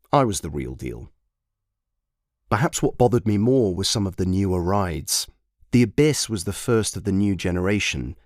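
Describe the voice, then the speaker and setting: Storybook monologue: man playing a veteran rollercoaster, proud and wistful. Lowish, very varied intonation, measured theatrical delivery. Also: darkish tone, no room sound, clean studio sound, heavily acted. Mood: uneasy. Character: proud, nostalgic old rollercoaster, wistful veteran of a theme park. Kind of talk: dramatic first-person storybook monologue.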